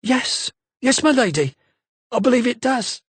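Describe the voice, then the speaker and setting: A man's voice: high-pitched, normal speed, slightly rough, no echo, voice pushed up. A man voicing a timid, deferential young female maid with rustic, uneducated speech. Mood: timid, earnest. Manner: hesitant, deferential.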